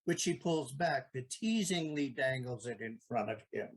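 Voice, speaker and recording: A man's voice: mid-range voice, expressive, normal speed, slightly rough. A man reading scripted stage directions as the narrator of an audio play.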